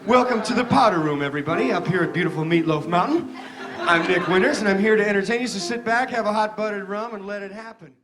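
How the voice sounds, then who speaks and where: A man's voice: high-pitched, fast, a bit bright, soft background music. A man playing a showy lounge singer, greeting an audience with cabaret stage patter. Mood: showy, welcoming.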